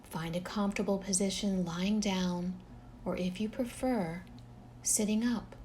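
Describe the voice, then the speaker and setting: Woman, meditation guide, calm and gentle delivery, mid-pitched voice, long pauses, some background noise. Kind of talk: guided relaxation meditation narration.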